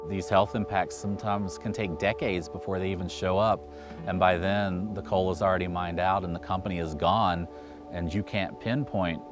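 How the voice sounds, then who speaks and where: A man's voice: low voice, steady tone, moderate pace, over music. A man in his thirties from West Virginia, a local resident speaking in a documentary interview outdoors. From West Virginia, United States. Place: outdoors in woods.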